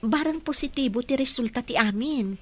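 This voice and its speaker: An actress with a Filipino Ilocano accent playing an earnest, caring young caregiver in a radio drama. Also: female voice, sits high, moderate pace. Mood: earnest, worried.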